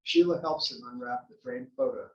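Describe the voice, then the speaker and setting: A man's voice: mid-range voice, brisk, a bit bright, muffled recording, slight background noise. A man reading scripted stage directions as the narrator at a play table read. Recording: echoey room, distant mic.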